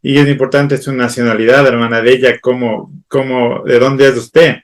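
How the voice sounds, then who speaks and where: Man, panel host, courteous, halting fillers, mid pitch. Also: level tone. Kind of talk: moderating a church panel discussion.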